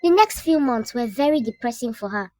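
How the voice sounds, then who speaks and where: A woman narrating a scripted folktale as a storyteller, in a measured, dramatic way. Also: on the high side, expressive, warm tone, faint music behind.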